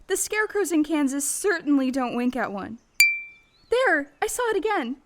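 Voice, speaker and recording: A woman's voice: high-pitched, sing-song, normal speed, noisy background. A woman playing a plucky, polite little farm girl, sincere and wide-eyed, in an audio play performed on stage. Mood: surprised, resolute. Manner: earnest, wide-eyed, proper. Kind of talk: stage radio play acting.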